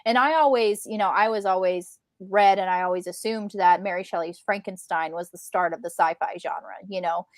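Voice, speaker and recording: A woman co-hosting a casual book discussion, retelling in a chatty, animated way with quoted asides. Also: medium pitch, moderate pace, hardly any pauses, dry recording. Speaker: book club co-host.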